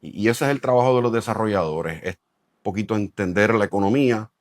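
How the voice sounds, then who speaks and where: A man's voice: fairly low pitch, fairly quick, no room sound, studio quality. A middle-aged Puerto Rican man with a Puerto Rican Spanish accent, a developer answering interview questions in a thoughtful way, with many fillers and restarts. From Puerto Rico. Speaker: real estate developer, company president.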